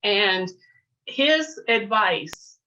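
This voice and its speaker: A woman's voice: medium pitch, animated, very slow, sharp tone. Muffled woman reminiscing in an interview. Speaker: interview guest. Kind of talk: casual storytelling, reminiscing. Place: remote virtual interview. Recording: muffled lo-fi video call.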